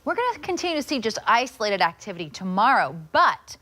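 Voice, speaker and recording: A woman's voice: fairly high pitch, sing-song, quick pace, sharp tone. An American woman giving a live television weather forecast as a meteorologist.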